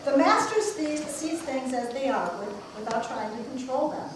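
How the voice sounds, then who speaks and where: A woman's voice: high voice, animated, moderate pace. A woman playing a serene ancient sage who declaims cryptic wisdom in an amateur puppet show. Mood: serene, instructive. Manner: declaiming, oracular. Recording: echoey noisy room, far from mic.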